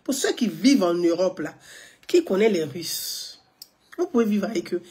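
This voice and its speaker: A woman's voice: fairly low pitch, animated, moderate pace, darkish tone, slightly rough. A woman, giving live political commentary to viewers, emphatic, repeating her points and asking rhetorical questions.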